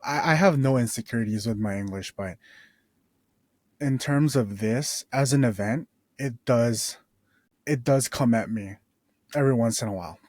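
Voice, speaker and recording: A man's voice: mid-range voice, moderate pace, long pauses, a little mellow, dry recording, clean studio sound. A man in his twenties casually telling a personal story as a podcast host, rambling with restarts and laid-back slang. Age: 20s.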